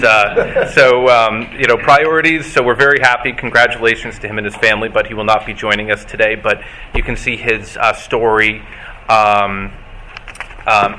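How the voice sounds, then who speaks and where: A man with a bright, forceful voice introduces speakers at a meeting. He stumbles and fills his gaps with "uh". Faint noise sits behind him. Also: medium pitch, low-quality recording. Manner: hesitant, stumbling, with many "uh"s. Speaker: meeting co-host.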